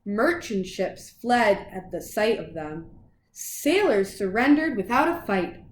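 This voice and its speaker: A woman reading a children's picture book aloud in an expressive, dramatic way for young listeners. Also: mid-range voice, slow pace, roomy sound, low-quality recording. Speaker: children's storybook reader.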